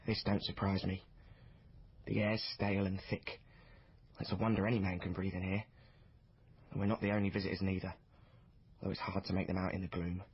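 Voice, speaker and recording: A man's voice: low-pitched, level tone, brisk, pauses often, husky. An actor playing a plain-spoken lad who narrates his own adventure in a period radio drama, colloquial and confiding. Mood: confiding, wry. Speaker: narrator and lead character. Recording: dull, noisy radio drama recording.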